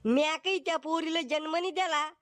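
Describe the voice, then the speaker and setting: A man's voice: very high-pitched, fairly even intonation, brisk, fairly bright tone, dry recording. A man voicing an exasperated, sarcastic middle-aged father in a comedy cartoon, with a scolding manner. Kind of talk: Marathi comedy cartoon acting.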